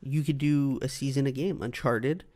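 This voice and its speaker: A man's voice: mid-range voice, fairly even intonation, moderate pace, darkish tone. A man in casual chat as a show co-host, speaking in a blunt, casually profane way.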